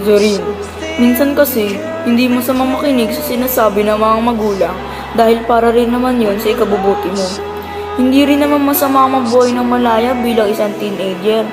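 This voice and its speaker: A woman's voice: on the high side, a little fast, over music, close to an everyday voice. A woman playing a caring schoolteacher who gives advice in an amateur school radio drama. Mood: advising.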